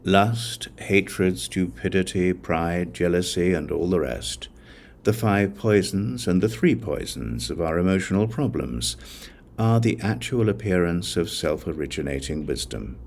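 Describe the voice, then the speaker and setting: Male audiobook reader for sacred text: low-pitched, measured phrasing, slight background ambience. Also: expressive, creaky, darkish tone, slight background noise. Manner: measured, deliberate phrasing with pauses. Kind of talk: scripted narration of a Buddhist scripture.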